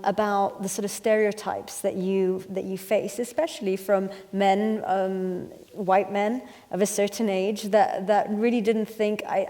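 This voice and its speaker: A woman's voice: mid-range voice, warm tone. A woman moderating a conference panel and asking questions in a hesitant, searching way. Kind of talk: interviewing on stage. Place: conference hall. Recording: long hall reverb.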